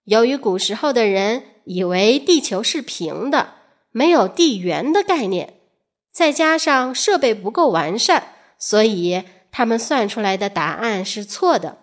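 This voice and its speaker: A woman's voice: on the high side, expressive, unhurried. A woman storyteller reading scripted educational narration for children, speaking directly to young listeners in a teacherly, questioning manner. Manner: addresses young listeners directly with rhetorical questions and soft sentence particles.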